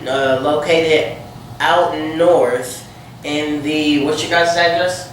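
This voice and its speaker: A man's voice: on the high side, steady tone, slow pace. A man hosting a casual podcast interview, joking and upbeat as a comedian. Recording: echoey room, distant mic, some noise.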